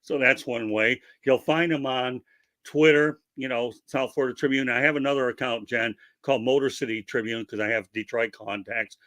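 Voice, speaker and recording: Man, interview host, chatty and rambling, bright forceful tone, dry close sound. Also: medium pitch, steady tone, normal speed, no room sound. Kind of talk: casual interview chat.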